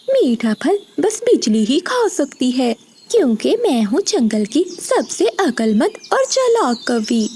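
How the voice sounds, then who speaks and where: A woman's voice: high voice, big pitch swings, slow, a little mellow, slight background noise, exaggerated voice. A woman voicing a sly, boastful female crow in a children's cartoon, scheming and exclamatory. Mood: gloating. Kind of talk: Hindi children's cartoon voice acting.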